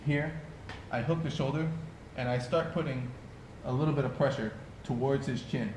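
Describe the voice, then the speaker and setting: A man's voice: medium pitch, moderate pace, a little mellow. Laid-back man in an echoey room. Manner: laid-back, explains with fillers like "you know". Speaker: podcast host and jiu-jitsu instructor. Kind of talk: casual chat and technique demonstration. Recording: echoey room with background noise.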